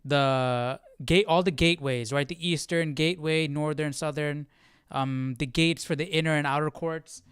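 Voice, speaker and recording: A man hosting a live Bible study in a casual, halting, conversational way, often checking in with listeners as he explains. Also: on the high side, steady tone, smooth, no echo, studio quality. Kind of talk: casual livestream Bible teaching.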